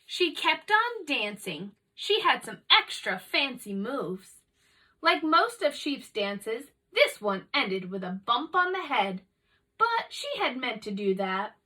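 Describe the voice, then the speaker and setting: A woman narrating a children's storybook as an expressive read-aloud. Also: a little high, slow, distant mic.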